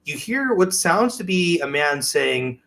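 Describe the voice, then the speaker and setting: Higher-voiced man in casual podcast chat. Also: big pitch swings, a little slow, gravelly, a bit bright. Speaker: podcast co-host. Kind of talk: casual show-and-tell chat.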